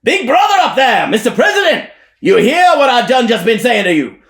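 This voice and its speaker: A man voicing a harsh-voiced male revivalist preacher who hollers a brash sales pitch for salvation. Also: sing-song, measured pace, fairly bright tone, full character voice. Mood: pleading.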